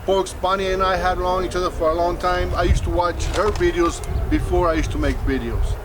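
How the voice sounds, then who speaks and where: A man's voice: high voice, steady tone, brisk, background noise. A man in his fifties hosting a casual vlog outdoors, talking in short, folksy exclamatory phrases. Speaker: vlog host. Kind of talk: casual unboxing vlog talk. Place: outdoor garden patio beside a fruit tree.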